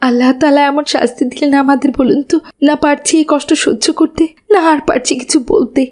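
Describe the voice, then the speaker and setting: Woman as a high-voiced, plaintive grieving mother. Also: steady tone, quick pace, darkish tone, close to an everyday voice. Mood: anguished. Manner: plaintive, pleading. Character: anguished landlord's wife and mother. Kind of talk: cartoon voice acting.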